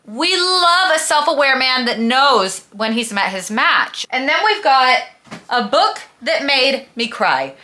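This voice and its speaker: A woman's voice: a little high, pushed and bright. A woman talking casually as a book vlogger, with a gushing, emphatic, dramatic and slangy manner. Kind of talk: casual chatty book review vlog.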